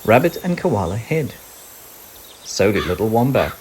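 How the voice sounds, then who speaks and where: A man narrating a children's story in an expressive, gentle, deliberate way. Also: fairly low pitch, a little hiss or hum, low-quality recording. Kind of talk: children's read-aloud narration. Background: crickets, animal and nature sound effects.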